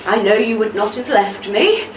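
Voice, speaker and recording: A woman playing a devoted, steadfast older army wife in a radio play, with a measured, tender delivery. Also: medium pitch, natural voice. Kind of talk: amateur radio play acting. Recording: roomy, distant mic, faint noise.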